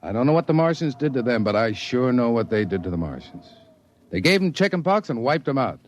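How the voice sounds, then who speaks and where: An actor playing a grim expedition leader who reports back in a sober, matter-of-fact way, in a 1950s radio drama. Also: male voice, mid-range voice, sing-song, fairly quick, natural voice.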